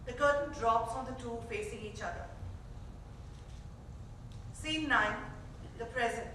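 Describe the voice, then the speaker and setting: A woman reads stage directions as narrator. Her voice is high and bright, carried through a very echoey theater at some distance from the mic. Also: a little slow, slight background noise. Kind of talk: scripted narration.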